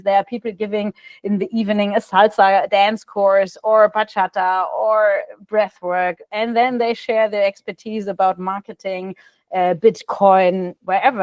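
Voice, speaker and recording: Animated woman on a dry video call. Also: medium pitch, no room sound. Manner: animated, gestures a lot, frequent restarts and "no?" tags. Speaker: podcast guest. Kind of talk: casual interview storytelling. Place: bright room indoors.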